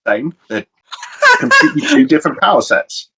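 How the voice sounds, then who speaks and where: A young man co-hosting a casual chat, explaining his picks in a measured, thoughtful way. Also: a little high, wildly swooping pitch, gravelly, pushed and bright, slight background noise, low-quality recording. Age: young adult. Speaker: co-host.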